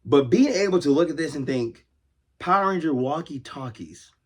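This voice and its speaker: A man's voice: fairly high pitch, lively intonation, moderate pace, breathy edge. A man hosting a casual collector's video, talking off the cuff in a rambling, enthusiastic way and exclaiming over details. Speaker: host of a toy collecting video series. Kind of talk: casual off-the-cuff toy show-and-tell.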